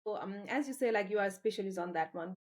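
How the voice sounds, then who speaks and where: Halting Nepali-accented woman hosting a podcast. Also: medium pitch. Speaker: podcast host. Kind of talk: casual interview.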